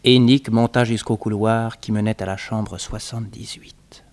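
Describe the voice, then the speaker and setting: A man's voice: on the low side, level tone, moderate pace. A man reading scripted narration for a radio serial on an old, slightly noisy recording.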